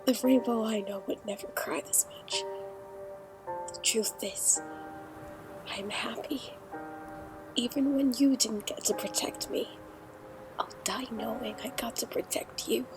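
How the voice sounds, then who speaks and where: A woman playing a gentle, dying female pony, weak and tender, half-whispering in an audio drama. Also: fairly high pitch, steady tone, unhurried, pauses often, close to an everyday voice. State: weak, fading. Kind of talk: fan audio drama acting. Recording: music behind.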